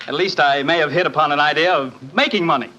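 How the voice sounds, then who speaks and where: An actor playing a brash, cheerful young American gambler, breezy and eager, in a 1940s radio drama. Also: male voice, a little high, fast talker, over loud music, lightly acted. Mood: cocky, eager. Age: young adult. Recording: muffled 1940s radio recording.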